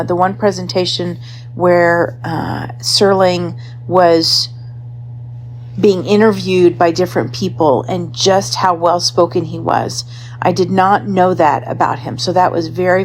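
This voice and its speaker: Female guest contributor, lower-than-average register, informal spoken report, light noise behind. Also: poor audio. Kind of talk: informal recorded testimonial.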